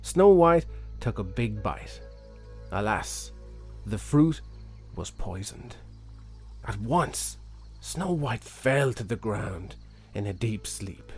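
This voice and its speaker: A man's voice: on the low side, lively intonation, takes it slowly, soft background music. A man reading a fairy tale expressively as a children's storyteller.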